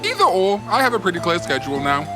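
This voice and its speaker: Giant whale monster played by an actor, parody cartoon. Breezy, teasing, hugely animated delivery over music. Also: mid-range voice, fast talker. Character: giant whale monster, easygoing, jokey and chatty. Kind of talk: comedic cartoon parody dub. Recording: music behind the voice.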